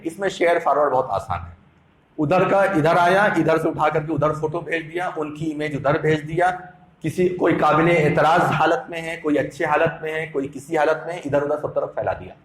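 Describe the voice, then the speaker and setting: Man, Islamic sermon, fairly high voice, didactic repetition, strong room echo. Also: moderate pace. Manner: emphatic, didactic, repeating phrases for effect. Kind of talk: Islamic sermon with Quran quotations. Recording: echoey room sound.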